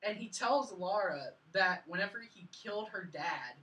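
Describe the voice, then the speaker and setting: Bright-voiced woman in a roomy recording. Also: medium pitch, moderate pace. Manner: animated recounting, frequent "like". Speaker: film review podcast co-host. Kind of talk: casual film review chat. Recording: roomy, a bit far from mic.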